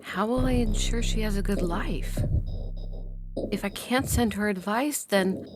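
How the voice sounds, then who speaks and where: A woman's voice: mid-range voice, a little slow, over music, close to an everyday voice. A woman acting an anguished, worried mother in an audio science fiction story. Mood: despairing. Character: anguished, worried mother of a time-separated daughter. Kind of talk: audio fiction dramatic reading.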